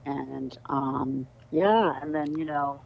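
Middle-aged New woman, low voice, relaxed chatty guest, dull lo-fi audio. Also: slow, creaky. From New York City. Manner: relaxed, conversational, frequent ums and laughs. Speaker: guest, lawyer. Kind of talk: casual interview conversation.

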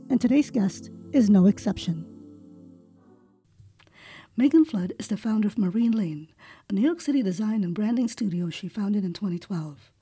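A woman's voice: medium pitch, mellow. A woman hosting an interview show, reading a prepared introduction and then asking questions. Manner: reads intro smoothly, then short friendly prompts. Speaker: podcast host.

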